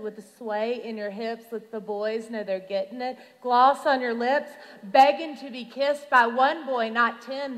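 A woman reciting rhythmic slam poetry as a preacher-poet, with emphatic, dramatic delivery and drawn-out phrases. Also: on the high side, a bit bright. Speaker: reverend performing slam poetry. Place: large hall at a youth conference. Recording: reverberant large hall.

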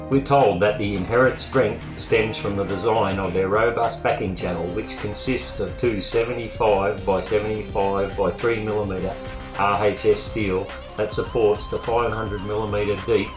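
A man reading a scripted voice-over as the narrator of a vehicle review. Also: lowish voice. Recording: roomy voice-over with music. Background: guitar music.

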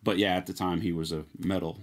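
A man's voice: low-pitched, fairly even intonation, fast talker, a crowd in the background. A middle-aged man telling stories from his musician past in a casual, chatty way. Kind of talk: casual storytelling chat. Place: small indoor music studio, seated at a table.

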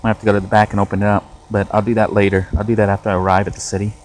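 A man's voice: lowish voice, very fast, poor audio. Rambling man vlogging from a moving van. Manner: relaxed and rambling, many ums. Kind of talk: casual vlog narration while driving. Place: inside a moving van, cab area. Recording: faint road noise in a car cab. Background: vehicle road noise.

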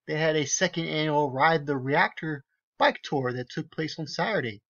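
A man's voice: fairly high pitch, normal speed, fairly bright tone, muffled recording. A man giving casual, sarcastic commentary over screenshots, with incredulous, emphatic asides. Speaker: video commentator.

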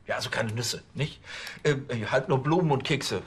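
An actor playing a fussy, eager-to-please police station chief, stammering and obsequious, in a TV comedy crime series. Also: male voice, mid-range voice, normal speed, slightly rough, natural voice. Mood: bossy, fussy.

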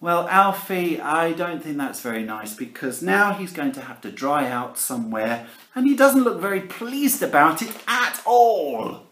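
A man's voice: on the high side, animated, a little slow, a bit bright. A man in his forties reading a picture book aloud to children in a theatrical, playful way, speaking to them directly in a living room. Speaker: primary school teacher reading aloud. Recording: roomy home camera recording.

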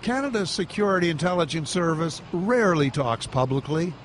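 A man's voice: fairly high pitch, expressive, fairly quick, a little hiss or hum. Older male correspondent narrating a report. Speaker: news correspondent. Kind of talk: scripted news narration.

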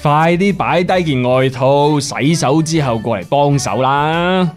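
A man's voice: a little high, measured pace, loud music behind the voice, close to an everyday voice. A man with a Hong Kong Cantonese accent playing a playful, talkative pig father in a family radio drama. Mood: lively.

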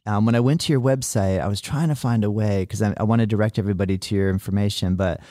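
Low, mellow enthusiastic American host. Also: male voice, fast, dry recording, clean studio sound. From United States. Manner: enthusiastic, effusive praise. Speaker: podcast host. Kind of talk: casual interview chat.

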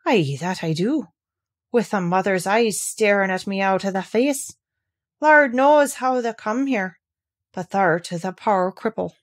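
Gruff, tactless old Yorkshire gardener, shaky and hoarse, voiced by a woman reader. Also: mid-range voice, a little slow, lightly acted. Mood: shaken. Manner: blunt dialect, shaky and hoarse.

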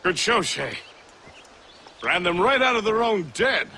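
An actor playing a cheerful, lively frontiersman in a video game. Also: sits high, measured pace, creaky, a little hiss or hum, exaggerated voice. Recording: game audio with music behind.

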